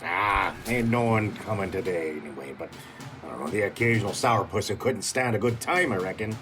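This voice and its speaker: A man playing a jovial, rough but kind fantasy tavern keeper with a folksy, chuckling way of talking. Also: on the low side, brisk, sharp tone, faint music behind. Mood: folksy, grumbling. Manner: folksy fantasy dialect, chuckling between phrases.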